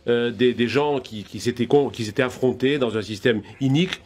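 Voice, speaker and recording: A man's voice: mid-range voice, moderate pace. Earnest man recalling the past. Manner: earnest, recounting personal memories. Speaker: panel guest. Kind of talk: television panel discussion.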